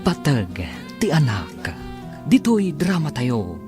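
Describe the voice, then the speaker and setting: A man's voice: fairly high pitch, huge pitch swings, slow pace. A man announcing a radio drama in a dramatic, scripted style. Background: music.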